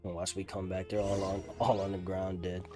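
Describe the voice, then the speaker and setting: A man's voice: deep voice, little pitch movement, fairly quick. A man doing a casual, slangy live reaction to a game, offhand in manner. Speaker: gaming streamer reacting to a story quest. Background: game music.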